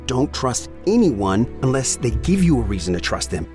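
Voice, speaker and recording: A man's voice: medium pitch, very animated, normal speed, darkish tone, faint music behind, close to an everyday voice. An actor playing a cryptic, cautioning man in an audio drama trailer. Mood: warning.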